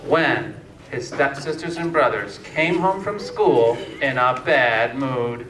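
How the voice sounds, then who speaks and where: Echoey, distant, slow storytelling man. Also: medium pitch, lively intonation. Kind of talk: scripted fairytale narration. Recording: echoey room, distant mic, faint noise.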